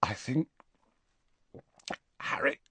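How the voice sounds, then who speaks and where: A man voicing a dazed, lovestruck teenage boy in an audiobook, breathy and strained, gushing and overwrought. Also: drawn-out words, sharp tone, dry recording, in a whisper. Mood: dreamy, lovestruck. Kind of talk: audiobook character voice.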